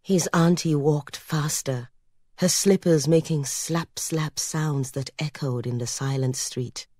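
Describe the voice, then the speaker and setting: Female audiobook narrator, deep mellow voice, literary storytelling with a measured, expressive delivery. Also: no room sound.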